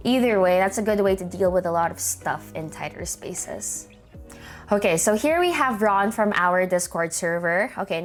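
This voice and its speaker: Upbeat filipino lady host thinking out loud, mid pitch, soft music under. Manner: chatty, upbeat, thinks aloud. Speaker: host reviewing viewer gaming setups. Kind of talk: casual commentary.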